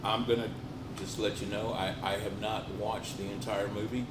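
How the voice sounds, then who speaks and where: A man's voice: on the low side, level tone, moderate pace. A man co-hosting a casual show with dry, playful banter. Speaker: co-host. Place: cigar shop lounge. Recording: echoey room, clear background hum.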